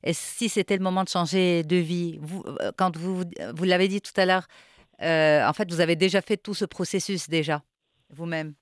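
A woman hosts an interview and asks her questions in a somewhat low voice. She breaks in with short encouraging interjections, and the sound is dry and close. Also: steady tone, normal speed, no room sound. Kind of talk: conversational interview.

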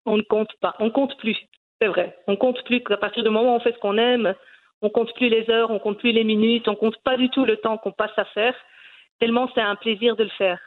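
A woman's voice: on the high side, steady tone, brisk, narrow, tinny audio. A woman in her forties from Belgium, a cooking YouTuber answering interview questions earnestly, with many hesitations. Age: 40s.

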